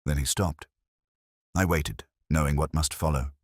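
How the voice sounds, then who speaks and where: A man playing a weary, ageing English detective, narrating a literary drama in a measured, solemn, reflective way. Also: a bass voice, steady tone, slightly rough, no echo, clean studio sound, exaggerated voice. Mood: weary, melancholy. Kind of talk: first-person literary audio drama narration.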